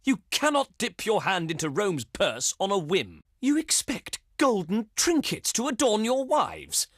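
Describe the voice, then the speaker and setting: An actor playing a haughty, contemptuous Roman senate diplomat in a strategy game, speaking in a pompous, theatrical, oratorical way. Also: male voice, high-pitched, huge pitch swings, unhurried, fairly bright tone, no echo, studio quality, exaggerated voice. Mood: scornful, outraged.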